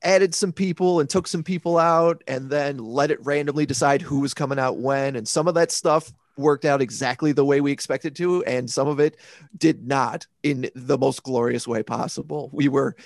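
A man co-hosting a casual podcast, upbeat and quick to laugh. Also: mid-range voice, steady tone, no echo. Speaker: podcast co-host. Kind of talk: casual chat.

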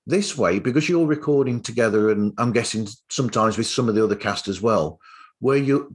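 Male podcast host, medium register, slightly rough voice, chatty and halting delivery. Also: mid-range voice. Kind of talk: casual interview chat.

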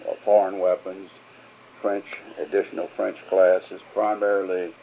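Elderly male interviewee, very low gravelly voice, halting recollection, dull hissy field tape. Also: flat delivery. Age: older. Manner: halting, with fillers and restarts. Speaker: interviewee, veteran. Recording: muffled field recording with hiss.

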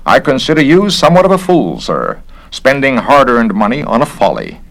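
A man's voice: mid-range voice, huge pitch swings, moderate pace, a bit bright, voice pushed up. A man voicing a prim, irritable government investigator with a clipped, disapproving manner. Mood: contemptuous.

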